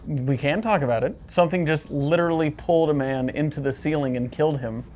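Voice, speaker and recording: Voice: medium pitch, fairly quick, a little mellow, slight background noise. A player bantering casually in a tabletop role-playing game.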